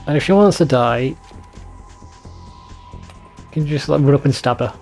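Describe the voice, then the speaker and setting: A man's voice: on the high side, normal speed, darkish tone, soft background music. A man giving casual, sweary commentary over a video game in an informal, bemused way. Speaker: let's play video host.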